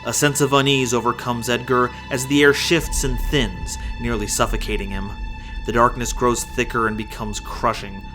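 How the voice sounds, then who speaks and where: A man's voice: mid-range voice, little pitch movement, moderate pace. A man narrating an audio drama from a script, in a theatrical storytelling manner. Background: prominent music.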